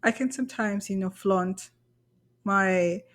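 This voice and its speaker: A woman's voice: medium pitch, takes it slowly, fairly bright tone. A woman from Kenya, co-hosting a podcast in casual conversation, candid and self-deprecating about herself. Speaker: podcast co-host.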